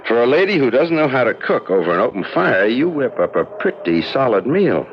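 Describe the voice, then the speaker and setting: An actor playing a practical, skeptical husband in a 1970s radio drama, brusque and matter-of-fact, sometimes impatient. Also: male voice, medium pitch, sing-song, brisk, natural voice. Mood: settled, assured. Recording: noisy, muffled old radio broadcast recording.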